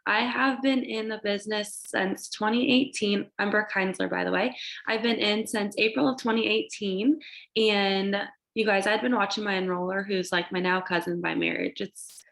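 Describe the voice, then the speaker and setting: A woman's voice: medium pitch, normal speed, creaky. A young woman sounds congested and stuffy from allergies as she speaks over a video call. She rambles eagerly, keeps turning to her listeners with "you guys," and pushes through with upbeat motivational energy. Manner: excited, rambling, addresses "you guys" often. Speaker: direct sales team leader.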